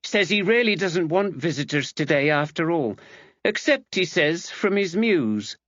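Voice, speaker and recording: A man's voice: fairly high pitch, animated, measured pace, fairly bright tone, no room sound, dull audio, voice pushed up. A man voicing a young, welcoming woman, an artist's companion, in an audiobook. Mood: breezy refusal.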